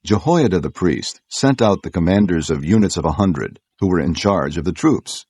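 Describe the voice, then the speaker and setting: Male narrator, very low pitch, raspy edge, formal measured scripture reading, dry studio sound. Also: expressive, darkish tone, no room sound.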